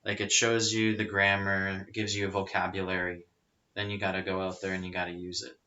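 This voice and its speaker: A man with a lowish, bright, crisp voice talks easily as a podcast guest. He explains things at an easy pace with lots of casual fillers. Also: a little fast. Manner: relaxed, explanatory, with frequent fillers. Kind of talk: casual chat. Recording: rough lo-fi audio.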